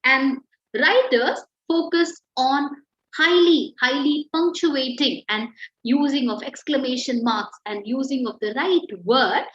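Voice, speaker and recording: A woman's voice: high voice, slow. A young adult woman, teaching an online writing class and explaining patiently with examples. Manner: encouraging, explains with examples and fillers. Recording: echoey, slightly dull call audio.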